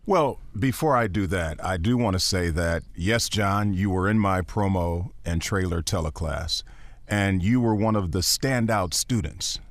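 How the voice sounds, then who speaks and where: A man with a baritone voice, a voiceover actor and teacher, talking as a podcast guest in an earnest, encouraging, emphatic way. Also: unhurried, no echo.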